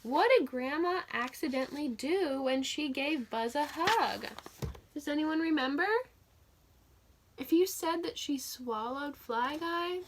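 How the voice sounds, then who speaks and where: A woman's voice: sits high, unhurried, a little hiss or hum. A woman teaching young children through a read-aloud, telling the story expressively and putting simple, encouraging questions to her listeners.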